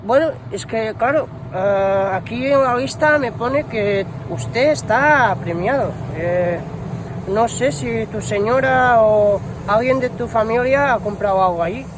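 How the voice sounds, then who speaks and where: A man's voice: extremely high pitch, unhurried, background noise. A man playing a bumbling shoe shop promotions employee on a prank call, hesitant and rambling, full of fillers and slang. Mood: chatty, hesitant. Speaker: prank caller. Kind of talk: improvised prank phone call.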